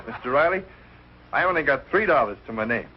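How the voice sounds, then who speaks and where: Actor as eager, animated young American suitor. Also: male voice, medium pitch, brisk, close to an everyday voice. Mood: earnest. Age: young adult. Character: earnest, polite young college suitor working as chauffeur. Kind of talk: 1940s American sitcom acting. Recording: dull old broadcast, faint hiss.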